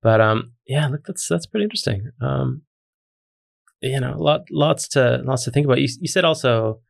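A man's voice: mid-range voice, lively intonation, moderate pace, vocal fry. A man with a Canadian accent interviewing a guest in casual talk, hesitant, with many ums and restarts.

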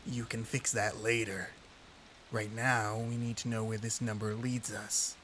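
A man playing a gruff, terse, threatening ex-detective in an audio drama. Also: lowish voice, level tone, a little slow, fairly bright tone, slight background noise. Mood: brisk, matter-of-fact. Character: gruff, threatening, hard-boiled male ex-detective.

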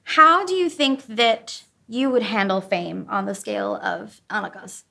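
A woman's voice: medium pitch, big pitch swings, measured pace, gravelly, sharp tone, echoey room. A woman hosting a podcast interview, asking questions in a conversational style. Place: on location at a film festival.

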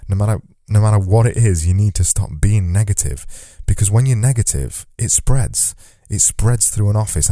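Deep, mellow-voiced man hosting a podcast. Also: no echo, clean studio sound. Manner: earnest, reflective, says he lifts his normally monotone voice. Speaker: podcast host. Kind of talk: solo podcast monologue.

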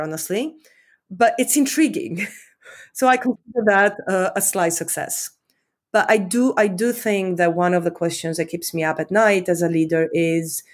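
A woman in her forties, from Romania, speaking English as a second language as an interview guest, thoughtful and repeating words with many fillers. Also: fairly low pitch. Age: 40s. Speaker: company leader, guest. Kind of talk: interview conversation.

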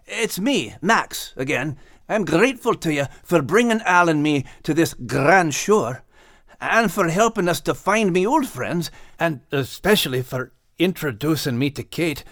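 A plucky Scottish dog from an animal storybook, speaking in folksy dialect. His voice is pitched high and swings with animation, earnest throughout, in a close dry recording. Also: expressive, unhurried, fairly bright tone, no echo. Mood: humble, grateful. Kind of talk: audiobook character acting.

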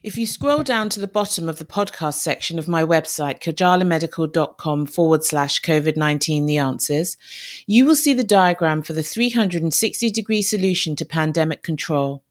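A woman's voice: sits low, animated, brisk. A woman doctor hosting a podcast, reading a formal scripted introduction and asking questions. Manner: formal, reads a prepared script.

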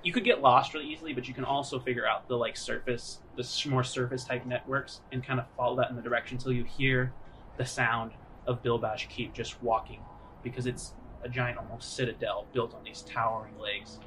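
A man narrating a tabletop game as game master, improvising in a halting, conversational way. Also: mid-range voice, level tone, sharp tone, a little hiss or hum, rough recording. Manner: halting, conversational, frequent hedging fillers. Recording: music under the voice.

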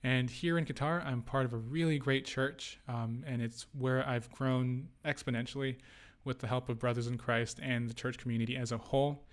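A man's voice: mid-range voice, steady tone, moderate pace. A young adult man co-hosting a casual podcast, talking in a playful, joking way. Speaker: podcast co-host. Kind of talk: casual chat.

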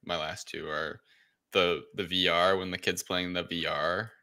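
Deep, gravelly man chatting casually. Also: flat delivery, a little slow, sharp tone. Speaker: podcast co-host. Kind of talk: casual film review discussion.

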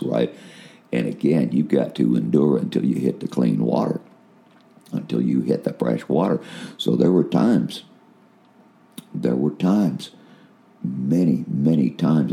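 Male preacher, deep rough voice, mellow tone; earnest, repetitive, asks "right?" often. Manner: big voice, jumps up in pitch when stirred. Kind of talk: spiritual testimony.

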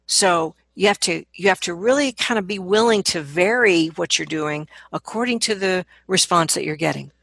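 A woman with a slightly low, husky voice talks as a coach in an interview. She explains things conversationally and leans on key words for emphasis. The sound is dry and close. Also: moderate pace, hardly any pauses, a bit bright, no room sound. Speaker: vocal coach being interviewed.

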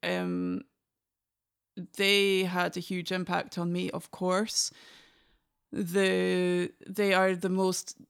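A Scottish woman in her forties talks things through carefully, her voice smooth and resonant with a gravelly edge. She often starts a sentence over as she searches for the right words. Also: lowish voice, extremely slow, pauses often. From Scotland. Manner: thoughtful, with frequent restarts. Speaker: guest musician. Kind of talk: reflective conversation.

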